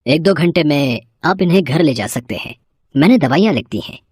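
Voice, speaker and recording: A woman voicing a calm hospital doctor in an animated story, measured and matter-of-fact. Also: low-pitched, slightly rough, no room sound, clean studio sound, pitched below the performer's own voice. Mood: calm, clinical.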